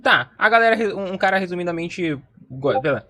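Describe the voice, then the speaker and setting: A man's voice: sits high, lively intonation, fairly quick, sharp tone. A Brazilian man giving casual, slangy, opinionated commentary as a reaction host, often repeating himself.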